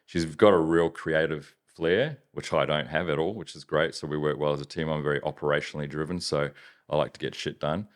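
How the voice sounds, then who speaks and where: A man from New Zealand with a softened Kiwi accent, chatting casually as a business owner in an interview, rambling with many restarts. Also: very deep voice, fairly even intonation, a little fast. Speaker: business owner, podcast guest.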